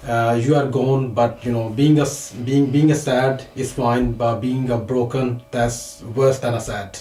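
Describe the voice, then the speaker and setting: A man from Pakistan, a financial advisor guest on a talk show, speaking hesitantly with many fillers and repeated words and clearing his throat often. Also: medium pitch, steady tone, unhurried, mellow, roomy sound, rough recording. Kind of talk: studio talk show interview.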